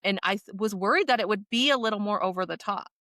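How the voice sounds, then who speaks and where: A woman co-hosting a podcast, chatting casually in an animated, chatty way. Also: mid-range voice, fast, dry recording, clean studio sound. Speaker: podcast co-host.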